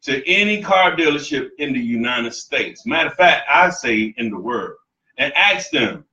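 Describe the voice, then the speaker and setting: A man in his forties chatting casually as a podcast guest, earnest and quick to laugh. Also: mid-range voice, lively intonation, bright, forceful tone. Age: 40s. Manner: laughs often, preachy and earnest. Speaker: podcast guest, live show co-host. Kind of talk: casual chat. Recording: echoey room, far from mic, dull lo-fi.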